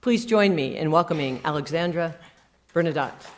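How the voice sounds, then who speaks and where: A woman's voice: low voice, normal speed, slight background noise. A woman hosting a university staff event, reading prepared opening remarks and introducing the first speaker. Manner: formal, read from a script. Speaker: conference host.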